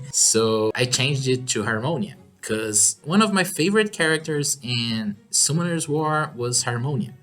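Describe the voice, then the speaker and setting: A man's voice: mid-range voice, expressive, a little slow, fairly bright tone, soft background music. A man from Brazil whose first language is Portuguese, speaking English with a Brazilian Portuguese accent as he chats through viewer questions in an upbeat way. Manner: chatty and upbeat, laughs often. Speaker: gaming YouTuber answering viewer questions. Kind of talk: casual Q&A chat.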